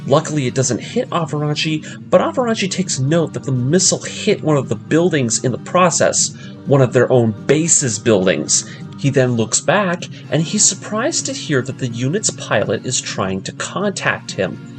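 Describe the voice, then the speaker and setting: A man with a mid-pitched voice hosts a story recap series over clearly audible background music. He narrates in a scripted storytelling style and mixes in casual, fan-style commentary. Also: very animated, moderate pace. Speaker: host of an anime story breakdown series.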